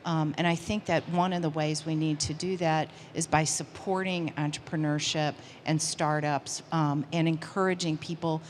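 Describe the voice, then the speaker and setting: A woman's voice: low-pitched, fairly even intonation, moderate pace, few pauses, slight background noise. A woman in her sixties moderating a conference panel, effusive and full of hesitant fillers. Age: 60s.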